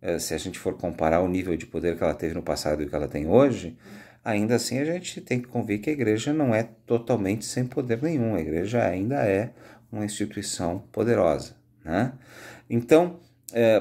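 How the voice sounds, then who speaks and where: Deep-voiced Brazilian man teaching. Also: a little fast, dark tone. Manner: conversational, explanatory, frequent "né" tags. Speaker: high school history teacher. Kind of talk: informal recorded history lecture.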